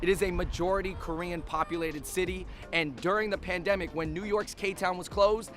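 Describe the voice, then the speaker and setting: A man hosting a casual food vlog, chatty and upbeat, slightly hoarse, exclaiming over dishes as he eats in restaurants and on a city street. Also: sits high, steady tone, normal speed, over music.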